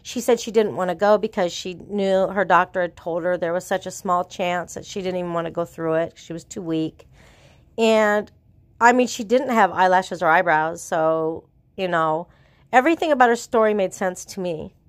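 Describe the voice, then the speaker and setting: A woman's voice: on the low side, no room sound. A middle-aged woman speaks with a stuffy, congested voice from a cold. She tells her story casually and earnestly, wandering and restarting her sentences. The sound is dry and close, with no room around it. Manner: rambling, earnest, with restarts and trailing pauses. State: sick with a cold, congested and sore-throated. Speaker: vlogger.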